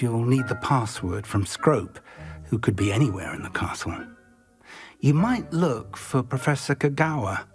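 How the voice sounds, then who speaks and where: A man's voice: mid-range voice, close to an everyday voice. A man playing a wry, kindly, scholarly professor in a video game, formal and thoughtful in his speech. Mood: dry, assured. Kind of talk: video game cutscene acting.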